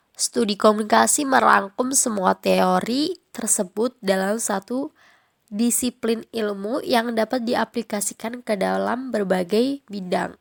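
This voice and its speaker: A woman's voice: a little high, vocal fry. A young woman with a Jakarta slang accent, nasal from a cold, chatting casually on her own podcast with frequent fillers. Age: young adult. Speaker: podcast host.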